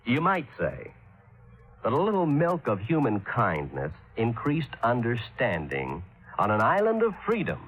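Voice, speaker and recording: A man's voice: on the low side, fairly bright tone. A man reading scripted 1950s radio narration in a formal announcer's manner, on a dull old recording.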